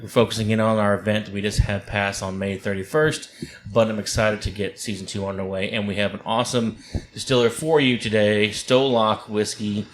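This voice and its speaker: A man hosts a podcast. He sounds upbeat and chatty, full of fillers and quick restarts. Faint room noise runs behind him. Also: lowish voice, flat delivery. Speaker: podcast host. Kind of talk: casual podcast hosting.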